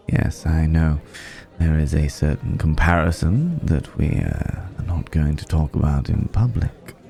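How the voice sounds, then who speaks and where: A man playing a suave, flirtatious, ageless vampire in an intimate ASMR roleplay, speaking in a courtly, teasing way with lingering pauses. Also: a bass voice, animated, gravelly, warm tone, soft background music, exaggerated voice. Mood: teasing, urbane. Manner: leisurely, courtly, teasing, with hesitant pauses and hums.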